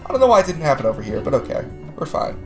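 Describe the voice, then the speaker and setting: A man's voice: on the high side, very fast, gravelly. Chatty man with game music behind. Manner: relaxed, chatty, laughs often. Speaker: let's play player. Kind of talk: casual game commentary.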